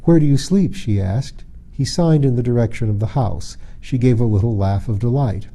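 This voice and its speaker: A man reading a fairy tale aloud as an audiobook narrator, in an expressive, measured, literary style. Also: medium pitch. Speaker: audiobook reader.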